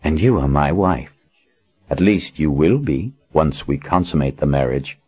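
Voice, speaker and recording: A man's voice: extremely low pitch, expressive, unhurried, a little mellow, slightly rough, heavily acted. A man voicing a cruel, arrogant usurping lord who speaks in a cold, commanding, menacing way. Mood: gloating. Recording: dull lo-fi audiobook recording.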